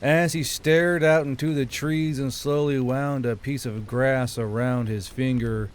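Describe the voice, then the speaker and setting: A man's voice: medium pitch, fairly even intonation, unhurried, a little hiss or hum. A man reading scripted fiction as an audiobook narrator. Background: background music.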